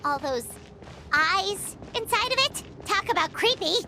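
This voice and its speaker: A woman voicing a small, childlike, chatty and easily spooked video game companion with a squeaky voice. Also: lively intonation, unhurried, background noise, exaggerated voice. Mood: uneasy. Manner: refers to self in third person, exclamatory.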